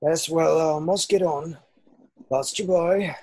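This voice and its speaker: A man playing a gruff, kindly giant with folksy rustic phrasing in a screenplay table read. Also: fairly high pitch, takes it slowly, darkish tone, a little hiss or hum. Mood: hearty.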